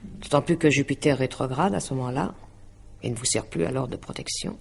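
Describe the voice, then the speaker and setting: An actress playing a courteous, hesitant woman who reads horoscopes, measured and polite, in a 1950s-style French radio drama. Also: a bass voice, creaky, a little mellow, slight background noise. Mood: uneasy, hesitant. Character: courteous, hesitant woman translator and amateur astrologer.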